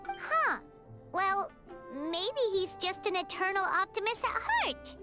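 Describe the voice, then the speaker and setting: A woman voicing a small, childlike, cheerful and naive fairy companion in a video game, with a squeaky, chirpy delivery. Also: big pitch swings, takes it slowly, full character voice. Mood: curious, cheerful. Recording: game music behind the voice.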